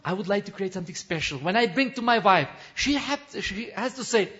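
A man preaching as a missionary pastor, his high, bright voice swelling into fervent shouts. His English is non-native, full of restarts and broken phrasing. The recording sounds dull and lo-fi. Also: lively intonation, a little fast, husky. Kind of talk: impassioned sermon testimony.

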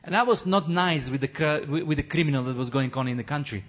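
A man who is a missionary pastor, preaching an impassioned testimony sermon in non-native English, building to shouts, with frequent restarts. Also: a little high, fast talker. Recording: dull lo-fi recording.

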